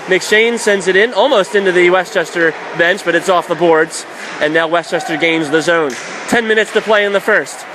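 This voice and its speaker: Guy with a high voice doing radio hockey play-by-play, short punchy phrases, noisy rink behind him. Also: moderate pace. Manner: vivid, clipped play-by-play phrasing. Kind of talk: live sports commentary. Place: indoor ice hockey arena. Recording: noisy live arena broadcast. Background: clear arena background noise.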